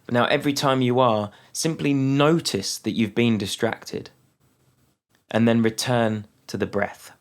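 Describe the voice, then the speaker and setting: A man leading a guided mindfulness meditation, speaking calmly and unhurriedly with long pauses between phrases. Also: mid-range voice.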